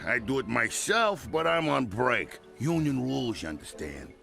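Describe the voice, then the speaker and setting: An actor playing a chummy, lazy union workman with a breezy, patronizing manner in an animated video game. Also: mid-range voice, moderate pace, vocal fry. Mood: lazily patronizing. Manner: breezy, patronizing, work-shy. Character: chummy, lazy union beacon worker man.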